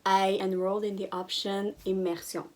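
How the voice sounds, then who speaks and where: A woman's voice: mid-range voice, flat delivery, normal speed. Earnest young woman. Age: young adult. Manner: earnest, explanatory storytelling. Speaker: vlogger. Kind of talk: casual chat to camera.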